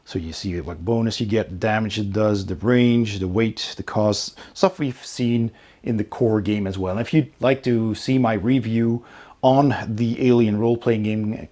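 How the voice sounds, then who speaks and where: A man's voice: fairly low pitch, a little mellow. A man hosting a casual unboxing video, thinking aloud and hesitating as he describes each item. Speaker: host of a board game unboxing channel.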